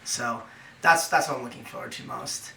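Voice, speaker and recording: Upbeat bright-voiced surfer man. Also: mid-range voice, moderate pace, roomy sound, distant mic, slight background noise. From Los Angeles, California. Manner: upbeat, enthusiastic. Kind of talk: contest interview.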